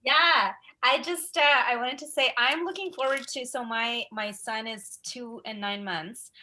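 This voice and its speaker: A woman with a bright, forceful voice talks emphatically on a laptop video call. She speaks as a parent sharing her plans in a casual group chat. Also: a little high, unhurried. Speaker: participant parent.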